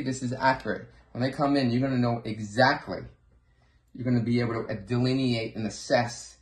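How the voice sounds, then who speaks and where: An echoey, roomy recording of a man presenting a training pitch. His voice sits at a medium pitch. He is earnest and emphatic, circling back over points and posing questions to drive them home. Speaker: presenter training hair-loss clinic professionals. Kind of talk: informal instructional sales presentation.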